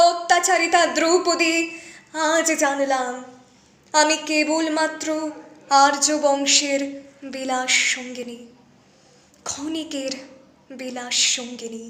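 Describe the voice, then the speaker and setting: A woman reciting a dramatic poem as a wronged, proud young queen of ancient epic, anguished and accusing, in formal, theatrical diction with weighted pauses. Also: very high voice, fairly bright tone. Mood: bitter, wounded. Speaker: poetry reciter. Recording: echoey, reverberant voice recording.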